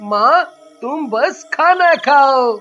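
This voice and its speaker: A man's voice: very high-pitched, animated, drawn-out words, bright, forceful tone, heavily acted. A man voicing a poor, caring young boy in a moral story, with a childlike, earnest manner. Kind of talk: animated moral story dialogue. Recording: muffled audio with music behind.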